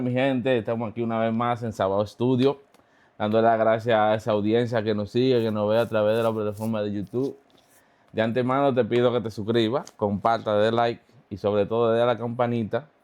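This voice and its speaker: Low-voiced man hosting a podcast. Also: a little mellow, clear, resonant tone. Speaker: podcast host. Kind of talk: interview hosting.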